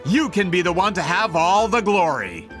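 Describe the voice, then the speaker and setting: An actor playing a smug, greedy businessman villain in a video game, with theatrical, drawn-out gloating. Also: sits high, lively intonation, over music.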